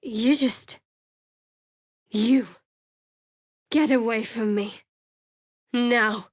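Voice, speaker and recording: A woman's voice: fairly high pitch, fairly even intonation, extremely slow, no echo. A woman playing a guarded, sardonic young female cleric in a video game, with a dry, aloof, clipped delivery. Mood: angry, warning. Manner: dry, aloof, clipped sarcasm with earnest undertones. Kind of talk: video game character voice acting.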